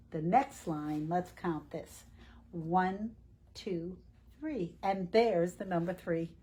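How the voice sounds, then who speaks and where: A woman reading a picture book aloud to young children in a deliberate, teacherly way, asking questions as she goes. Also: fairly low pitch, warm tone, slightly rough. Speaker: children's storytime reader at a library.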